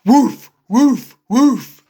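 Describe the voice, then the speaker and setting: Picture-book big dog, barked out by a woman: loud, blunt, scary woofs. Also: fairly high pitch, animated, drawn-out words, heavily acted. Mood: barking loudly. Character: big barking dog, scary but nice. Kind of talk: children's picture book read-aloud.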